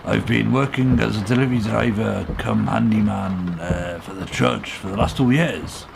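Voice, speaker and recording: A man's voice: on the low side, moderate pace, creaky. A man playing a weary, guarded, protective Welsh father in a radio play, speaking politely and hesitantly in an old-fashioned way. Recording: noisy vehicle ambience behind voice.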